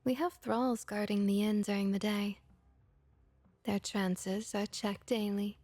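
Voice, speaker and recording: A woman playing a friendly, comforting vampire innkeeper in a soft-spoken ASMR roleplay, gentle, reassuring and often whispery. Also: medium pitch, little pitch movement, slow pace, no room sound. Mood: soothing, reassuring.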